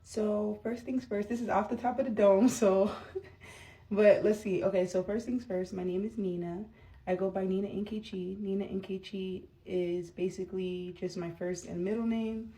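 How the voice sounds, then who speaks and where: A woman's voice: mid-range voice, steady tone, normal speed, mellow, far from the mic, poor audio. A woman in her thirties chatting casually to camera while doing her makeup, rambling with lots of fillers. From United States. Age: 30s. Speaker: vlogger.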